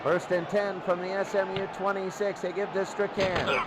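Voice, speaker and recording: High-voiced man calling football over heavy noise. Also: flat delivery, normal speed. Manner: repeats phrases, short clipped calls. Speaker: play-by-play football commentator. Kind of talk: live radio sports commentary. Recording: very noisy game ambience.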